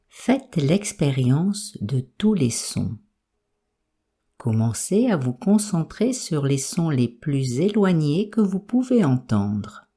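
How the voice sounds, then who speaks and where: Low, mellow, slow-speaking woman guiding meditation. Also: husky. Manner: calm, soothing, measured instructions with pauses. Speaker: meditation guide. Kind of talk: slow guided yoga nidra relaxation.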